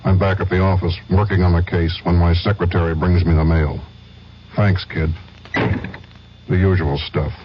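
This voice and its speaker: An actor playing a world-weary, deadpan private detective narrating a radio ad in clipped noir style. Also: male voice, deep voice, measured pace, pauses often, a little hiss or hum. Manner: terse, deadpan. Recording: dull, muffled old radio broadcast.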